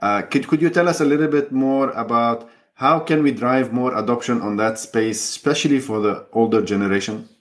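A man's voice: mid-range voice, moderate pace, few pauses. A man hosting an online interview show, greeting his guest and asking questions in a friendly way, with lots of fillers and loose phrasing. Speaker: show host.

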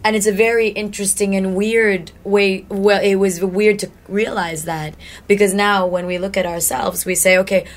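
A woman from Iraq co-hosting a podcast, talking in a reflective, halting way with many restarts. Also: mid-range voice, fairly even intonation. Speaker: podcast co-host. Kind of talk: casual chat.

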